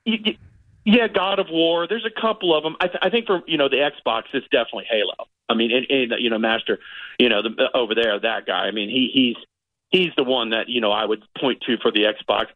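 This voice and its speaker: A man's voice: mid-range voice, brisk, phone-quality sound. Middle aged guy doing casual movie reviews on radio, chatty and rambly, no room echo. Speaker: film critic guest on a radio show.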